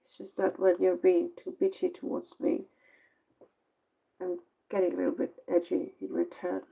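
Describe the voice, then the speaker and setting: A woman's voice: fairly low pitch, completely flat delivery, moderate pace, vocal fry, mellow, poor audio. A Norwegian-accented woman, a native Norwegian speaker, giving a casual late-night tarot reading in a blunt, sarcastic, rambling way, sounding tired. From Norway. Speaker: tarot reader.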